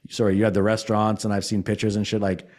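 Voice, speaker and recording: A man's voice: lowish voice, level tone, fast, a little mellow. A man co-hosting a casual podcast, blunt and enthusiastic, swearing freely, on a studio mic. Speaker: podcast co-host. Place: bright studio room, seated in armchair. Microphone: boom-mounted studio mic.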